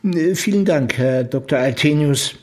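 An actor playing a sober, probing police investigator in a German radio drama, measured, formal and dry. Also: male voice, a little high, darkish tone, close to an everyday voice.

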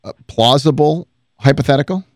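A man's voice: on the high side, normal speed, dry recording, studio quality. A man co-hosting a sports talk radio show, wry and teasing in his banter. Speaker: radio co-host.

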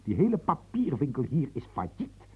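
A bitter, husky secretary. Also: male voice, mid-range voice, wildly swooping pitch, normal speed, exaggerated voice. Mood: scornful. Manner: sarcastic, ranting. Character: embittered male secretary, overworked and scornful.

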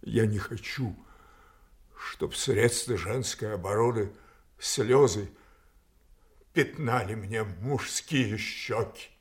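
Slow, anguished old king. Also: lowish voice. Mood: wounded fury. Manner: declamatory, swinging from hushed to thundering. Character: proud, anguished old king, wronged by his daughters. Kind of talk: classical stage tragedy acting.

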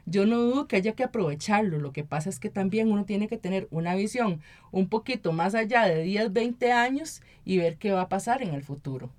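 A woman with a Costa Rican accent talks as an interview guest. Her pitch is average for a woman. She explains things conversationally, often adding small tag questions as she goes. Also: lively intonation, brisk, a little mellow. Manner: explanatory, often tags statements with "¿verdad?". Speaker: interview guest, local council member and activist.

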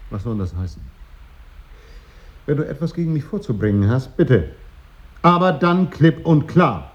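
An actor playing a strict, exasperated schoolteacher in a radio play, emphatic and authoritarian. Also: male voice, mid-range voice, big pitch swings, a little slow, a little hiss or hum. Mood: stern. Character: strict exasperated male schoolteacher scolding a pupil. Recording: dull radio recording with faint music.

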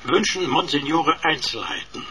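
An actor in a radio drama playing a cheeky computer sidekick, speaking in a pompous, wordy, mock-deferential manner. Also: male voice, a little high, moderate pace, a crowd in the background, close to an everyday voice, rough recording. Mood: smug, pompous.

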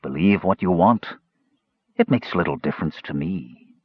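Man voicing a low, cool, mysterious stranger. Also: lively intonation, normal speed, husky, dry recording, muffled recording. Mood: calm, amused.

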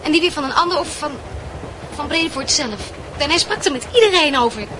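A guarded, easily indignant schoolgirl in a radio play. Her voice is high, and clear noise sits behind it on the recording. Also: expressive, a little fast. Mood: indignant, hurt. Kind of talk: radio drama dialogue. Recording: noisy radio drama recording.

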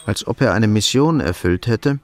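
Low-voiced male radio drama narrator. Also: animated, moderate pace, a little hiss or hum. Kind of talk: scripted literary narration.

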